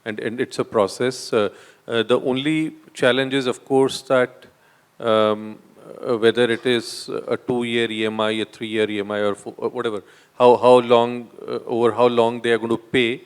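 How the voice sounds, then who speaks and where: A man's voice: mid-range voice, flat delivery, moderate pace, clear, resonant tone. A man, a wind project developer on a panel, explaining point by point with fillers. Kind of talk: panel discussion. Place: conference hall.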